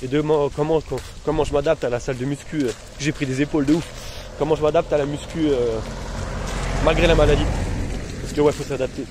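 A man's voice: fairly high pitch, fairly even intonation, fairly quick. Guy in his 30s vlogging on his phone outside, in pain, loose slangy rambling, lots of outdoor noise. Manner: slangy, rambling, talks straight to his followers. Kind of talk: casual vlog. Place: outdoors among trees and bushes. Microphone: phone held at arm's length. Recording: noisy outdoor phone recording. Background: outdoor ambience.

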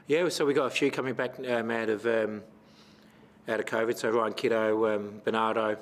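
A middle-aged man with an Australian accent, a football coach answering questions at a post-match press conference into a table microphone, measured and full of fillers. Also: fairly low pitch, steady tone. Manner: measured, many fillers and restarts. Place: press conference room with sponsor backdrop.

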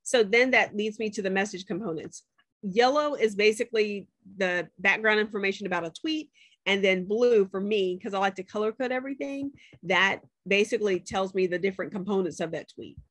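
A woman's voice: medium pitch, a little fast, no echo, clean studio sound. A woman professor walking students through a spreadsheet on a shared screen, chatty and checking in with them often. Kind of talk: screen-share class demo.

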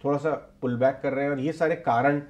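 A man's voice: medium pitch, steady tone, a little fast, darkish tone. A middle-aged man anchoring live market commentary from a TV studio on a lapel mic, explaining and repeating key points for emphasis. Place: TV news studio.

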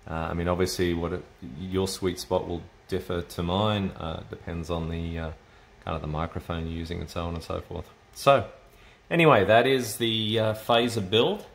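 A man's voice: low-pitched, steady tone, moderate pace, gravelly, hardly any pauses. A man giving an unscripted, hesitant, explanatory demonstration as a radio hobbyist, thinking aloud as he goes. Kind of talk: unscripted casual tech demonstration. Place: indoors at a home desk with computers. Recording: roomy echo, noticeable background noise.

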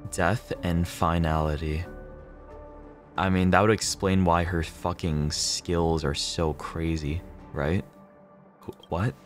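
A man's voice: deep voice, steady tone, takes it slowly, faint music behind. A male streamer chatting casually and reacting to a game in an informal, sweary, exclamatory way.

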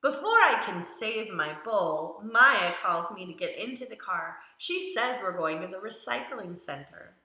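A woman's voice: medium pitch, animated, a little slow, few pauses, sharp tone. A woman voicing an eager, curious family dog who narrates a children's picture book with simple, emphatic delivery. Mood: earnest. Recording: roomy echo around the voice.

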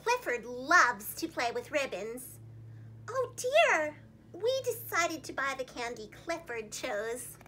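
A young woman reading a picture book aloud to small children as a storytime host, with an expressive, dramatic delivery. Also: high-pitched, slow, a little hiss or hum. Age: young adult. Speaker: preschool teacher and storytime host. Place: small indoor room, seated against a plain wall.

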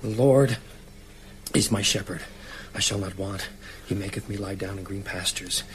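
An actor playing an uneasy, halting writer in a radio horror drama. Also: male voice, low-pitched, a little hiss or hum, lightly acted. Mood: fearful, praying. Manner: hesitant, halting. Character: uneasy writer caught up in a vampire hunt. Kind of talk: naturalistic radio drama acting.

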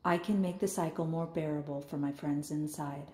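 A woman voicing a calm ink-born young narrator. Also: low-pitched, moderate pace, echoey room, close to an everyday voice. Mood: reflective. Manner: calm, reflective. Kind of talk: scripted narration.

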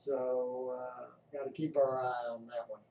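A man giving casual, unhurried live commentary as an amateur host explaining a weather radar. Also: mid-range voice, little pitch movement, gravelly. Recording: roomy, distant mic, faint noise.

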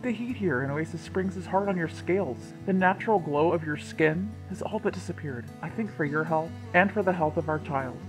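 A reader voicing an earnest, gentle young husband and father-to-be in a storybook tale, speaking plainly and sincerely. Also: mid-range voice, brisk, hardly any pauses, roomy sound, over music, lightly acted, poor audio. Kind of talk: reading a story's dialogue and letter.

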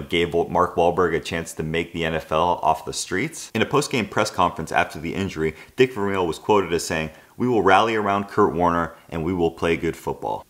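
A man's voice: sits low, a bit bright. A man in his twenties tells a football underdog story to camera in a casual, conversational way, often saying "you know". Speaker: sports video host. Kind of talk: scripted sports storytelling to camera. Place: living room of an apartment.

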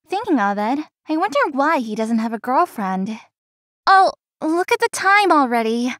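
Young woman, voiced by an actress, very high voice, gentle, dutiful, dry studio sound, anime-style game. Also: sing-song, moderate pace, no room sound. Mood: reflective. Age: young adult. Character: dutiful, gentle young working daughter, playful friend. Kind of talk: visual novel voice acting.

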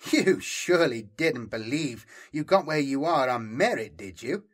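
A man's voice: mid-range voice, expressive, unhurried, fairly bright tone. Guy doing a smug crooked office man for an audiobook, sly and casual, kinda teasing, no shame. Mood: goading. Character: sly, amused, casually corrupt male bureaucrat.

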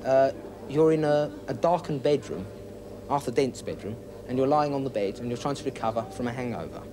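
A man's voice: medium pitch, fairly quick. A man giving an informal, slightly hesitant explanation as a game demonstrator. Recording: clear background noise.

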